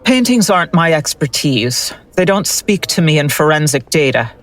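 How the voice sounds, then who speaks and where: A woman's voice: sits low, sing-song, normal speed. A woman playing a skeptical, no-nonsense forensic doctor who gives curt, sardonic replies. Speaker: forensic analyst.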